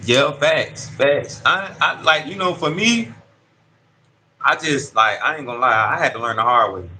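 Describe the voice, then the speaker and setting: A man in his thirties speaks from a prison dorm with a bright, forceful voice, talking in street slang and often checking that the listener follows him. Faint ambient noise sits behind him. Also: medium pitch, expressive, unhurried. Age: 30s. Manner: street slang, keeps asking "you see what I'm saying". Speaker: incarcerated interviewee. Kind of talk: casual conversational storytelling.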